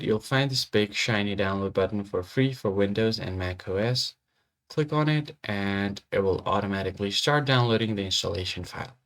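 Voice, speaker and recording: A man presenting a software tutorial, giving casual step-by-step instructions. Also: on the low side. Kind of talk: step-by-step screen tutorial narration.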